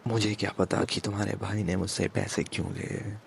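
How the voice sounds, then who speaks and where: A man voicing a smug, possessive mafia boss in an intimate ASMR audio roleplay, with a gravelly, halting murmur and menacing endearments. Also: on the low side, little pitch movement, a little hiss or hum.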